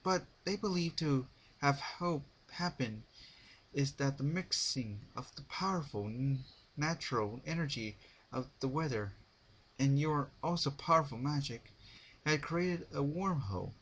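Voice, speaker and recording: A man's voice: mid-range voice, expressive, a little slow, fairly bright tone, slightly rough, full character voice, rough recording. A man voicing a dignified, caring female pony ruler's formal letter in a dramatic story reading. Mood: grave, concerned.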